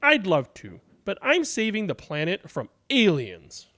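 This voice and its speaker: Man as a high-voiced, self-important little boy. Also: wildly swooping pitch, unhurried, bright, forceful tone, exaggerated voice. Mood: polite refusal. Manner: self-important, politely dismissive. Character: small imaginative boy playing a busy, polite astronaut.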